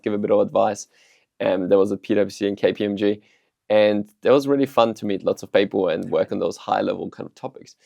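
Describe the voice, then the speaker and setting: A man in his thirties, from Austria, talks through his career in a loose, rambling, storytelling way. His voice is low and gravelly, with a lot of fry. The sound is dry and close, with no room echo. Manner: relaxed storytelling, many ums and restarts. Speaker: startup CEO, guest. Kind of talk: casual interview answers.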